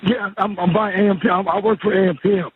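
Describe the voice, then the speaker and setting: A man playing a rattled store worker on an emergency phone call, halting and agitated, with a rough voice through a phone line. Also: high-pitched, fairly even intonation, a little hiss or hum. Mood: shaken, urgent. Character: rattled male convenience store worker calling for help. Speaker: emergency caller.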